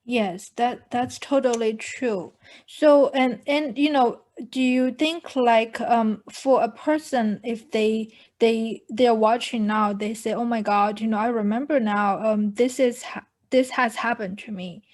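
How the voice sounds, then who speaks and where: A woman's voice: a little high, fairly even intonation, a little slow, a little mellow. A woman, hosting an interview over a video call and speaking earnestly with many fillers and restarts. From China. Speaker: host. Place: home room with pictures on wall. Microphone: video call, earphone mic.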